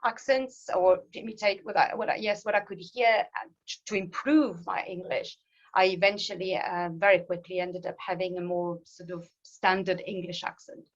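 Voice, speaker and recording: A woman's voice: on the low side, fairly even intonation, moderate pace, dull audio. A woman in her fifties, a native French speaker with an Alsatian French accent, telling of her life with languages in a hesitant, restarting way. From France. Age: 50s. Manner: hesitant, many restarts and ums, laughs at herself. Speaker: guest speaker. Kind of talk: personal talk on language.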